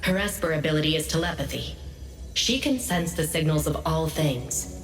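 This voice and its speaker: A woman playing a composed intelligence analyst in a video game, giving briefings in a measured, serious, informative way. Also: low-pitched, lively intonation. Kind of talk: scripted game dialogue. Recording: echoey hall, distant, faint music behind.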